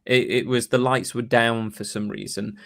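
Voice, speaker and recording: A man's voice: mid-range voice, fairly even intonation, normal speed, vocal fry, fairly bright tone. A man speaking as an academic interview guest, telling anecdotes in a thoughtful, rambling, conversational way.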